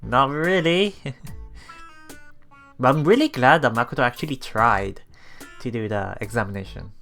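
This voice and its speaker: A male let's player reads visual novel narration aloud and adds casual comments. His delivery is halting and amused, at a medium pitch. Soft game music runs underneath. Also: gravelly. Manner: halting, chuckles through his reading. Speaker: let's play streamer. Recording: voice over game background music.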